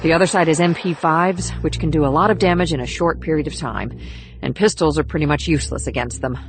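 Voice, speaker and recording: A woman's voice: low-pitched, quick pace. A woman playing a tough, level-headed government agent in a thriller audiobook, terse and commanding. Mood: grim, matter-of-fact. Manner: terse, commanding, plain-spoken. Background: music under the dialogue.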